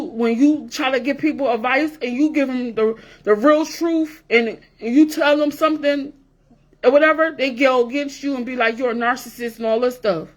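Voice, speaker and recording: A woman in her forties talking straight to her viewers in a blunt, profane rant, repeating herself for emphasis. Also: sits high. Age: 40s. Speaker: vlogger. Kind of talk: casual rant to camera.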